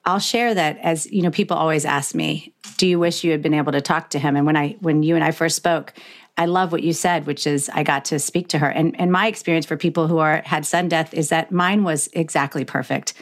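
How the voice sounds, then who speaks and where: A middle-aged woman with a low voice hosts an intimate interview. She speaks reflectively and conversationally, slipping into imagined quotes to make her points. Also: fairly even intonation, a little fast. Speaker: podcast host interviewing a guest.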